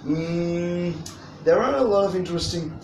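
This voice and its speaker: Hesitant adult storyteller in an echoey room. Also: mid-range voice. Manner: hesitant, wordy, frequent long pauses. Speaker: storyteller guest reading to a child. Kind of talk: casual unscripted introduction to storytelling. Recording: echoey room, distant mic, noisy.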